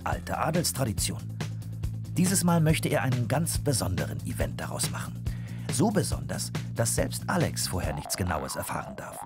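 A man narrating a children's audio drama from a script in an expressive storytelling manner. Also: fairly low pitch, moderate pace, over music.

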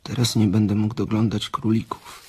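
An actor playing a slow-witted, childlike and anxious farmhand in a radio drama, speaking haltingly and repeating himself. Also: male voice, lowish voice, little pitch movement, a little hiss or hum, lightly acted. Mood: distressed, fretting. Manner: halting, repetitive, simple.

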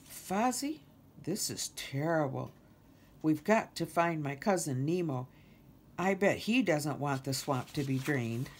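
An earnest, fretful male frog in a storybook as a woman reads him. The delivery is animated, swinging upward, with drawn-out words. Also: deep voice, slight background noise. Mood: dismayed. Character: earnest young male frog, worried about his home.

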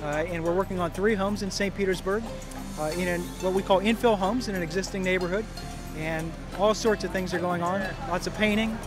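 A man's voice: high-pitched, brisk. A man talking in an upbeat way about a volunteer project, explaining what is going on. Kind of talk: scripted narration and interview. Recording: music behind.

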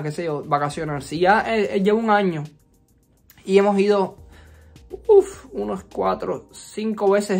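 A young man from Cuba with a Cuban Spanish accent and a notably high-pitched voice. He talks in a chatty, expressive way, full of fillers and playful sass, like a vlogger telling a story. Faint music plays underneath. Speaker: YouTuber. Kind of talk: casual storytime vlog. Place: resort vacation rental.

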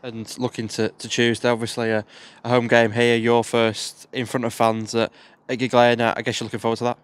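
A man's voice: mid-range voice, flat delivery, brisk, clean tone. A man asking hesitant, filler-heavy interview questions outdoors in a stadium stand into a handheld mic. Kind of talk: club media interview.

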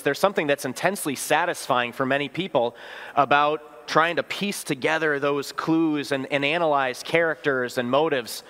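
A man's voice: a little high, a bit bright. A male pastor in his thirties preaching a sermon in a church sanctuary, conversational and storytelling, asking the congregation questions. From Wisconsin, US. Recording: long church reverb.

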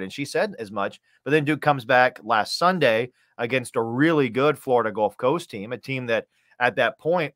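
Man in his thirties, medium pitch, dry close sound, sports announcer, emphatic conversational analysis. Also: fairly bright tone, no room sound. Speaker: radio play-by-play announcer, podcast guest. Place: indoor room, on a video call.

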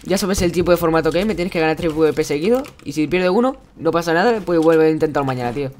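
A man's voice: a little high, quick pace, a little mellow. A young man with an accent from Spain chats casually and encouragingly in slang as he hosts a live game stream. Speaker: game streamer, host of one-on-one matches. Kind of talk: casual live gameplay chat. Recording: clear background noise, keyboard clicks.

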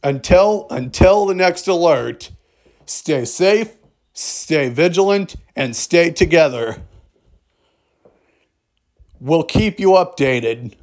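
A man reading a scripted emergency alert broadcast in a formal, measured manner. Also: a little high, expressive, a little mellow.

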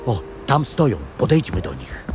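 Male actor as cheerful grandpa guide for children's history radio play: very lively intonation, explanatory, medium pitch. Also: moderate pace, soft background music, close to an everyday voice. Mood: enthusiastic. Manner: animated, explanatory storytelling to children. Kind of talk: children's audio drama acting.

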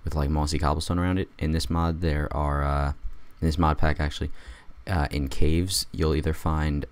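A man just out of bed with a raspy voice, rambling through a casual let's-play commentary with wry jokes. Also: very deep voice, little pitch movement, normal speed. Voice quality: raspy, gravelly. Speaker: gamer doing a let's play.

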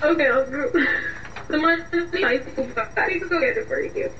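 An actress playing an anxious young Nigerian student in a radio drama, pleading and flustered, with a Nigerian accent. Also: female voice, sits high, moderate pace, lightly acted. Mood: nervous, placating. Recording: dull, echoey, distant, slightly noisy.

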